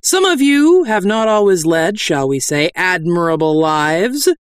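Woman acting a haughty, high-pitched warrior woman preaching. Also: sing-song, measured pace, no echo, studio quality. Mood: grandly preaching. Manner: declamatory, haughty, scolding. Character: bold bluffing young warrior woman posing as stern priest. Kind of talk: fantasy audiobook character acting.

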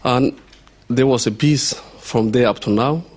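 A man from Somaliland asking a long question from the audience, halting and repeating words with many fillers. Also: medium pitch. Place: lecture hall.

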